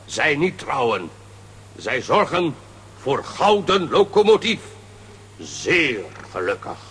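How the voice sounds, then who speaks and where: A man's voice: medium pitch, huge pitch swings, slow pace, a bit bright, slight background noise, full character voice. A man playing a proud, stern chief who speaks in broken, clipped phrases in a children's audio drama. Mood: solemn.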